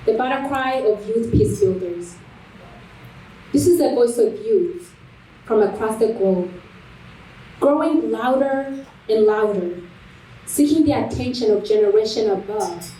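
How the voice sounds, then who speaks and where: A woman delivering a rhetorical spoken word speech in an emphatic, deliberate manner. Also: a little high, expressive, mellow, a little hiss or hum. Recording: echoey room, distant microphone.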